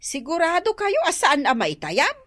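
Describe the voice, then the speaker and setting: A woman's voice: sits high, wildly swooping pitch, normal speed, fairly bright tone, no room sound, clean studio sound, exaggerated voice. A woman acting a pert, grumbling daughter of a village household in an Ilocano radio comedy-drama, with an Ilocano accent. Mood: concerned.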